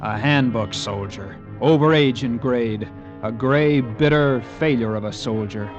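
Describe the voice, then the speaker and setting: A man's voice: mid-range voice, measured pace, highs cut off, soft background music, close to an everyday voice. Man playing a proud young cavalry lieutenant. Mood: bitter, brooding. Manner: formal, clipped military address. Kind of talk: 1950s radio drama acting. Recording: old radio drama recording.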